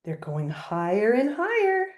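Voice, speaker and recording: Animated older woman reading a picture book. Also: fairly high pitch. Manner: expressive, pausing to point out pictures. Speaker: grandmother reading aloud to a child. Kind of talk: children's picture book read-aloud.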